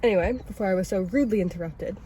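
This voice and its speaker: A young woman with a cold, sounding raspy and stuffy, chatting casually and rambling in a self-critical way as she vlogs from her car. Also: mid-range voice, very fast, dark tone, a little hiss or hum. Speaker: vlogger host. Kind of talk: casual oversharing vlog talk. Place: inside a parked car.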